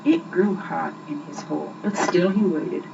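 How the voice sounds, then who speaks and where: A young woman reading a children's story aloud with expressive, scripted narration, on an old amateur recording. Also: lowish voice, normal speed, gravelly, recorded from a distance. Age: young adult. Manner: expressive, acts out the dialogue. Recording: muffled, noisy old amateur home recording.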